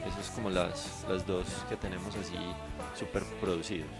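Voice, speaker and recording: A man's voice: low voice, steady tone. Man with music behind. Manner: halting, frequent fillers. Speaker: band member. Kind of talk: casual interview answers.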